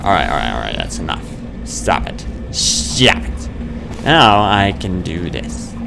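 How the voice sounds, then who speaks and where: A man's voice: sits low, sing-song, unhurried, vocal fry, over music. A man in his twenties giving casual gameplay commentary, muttering to himself and exclaiming in bursts. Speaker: let's play host. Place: small dim room at home.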